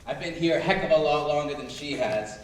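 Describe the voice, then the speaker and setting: An actor in a stage musical playing a resentful, complaining veteran prison guard. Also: male voice, a little high, fairly quick, lightly acted. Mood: indignant. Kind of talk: musical theatre dialogue and singing. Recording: very echoey distant live staged reading.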